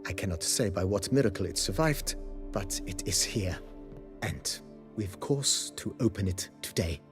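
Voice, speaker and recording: An actor playing a courteous, formal estate majordomo in a fantasy video game, speaking eloquently and deferentially. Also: male voice, low voice, lively intonation, a little slow, over music. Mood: ceremonious.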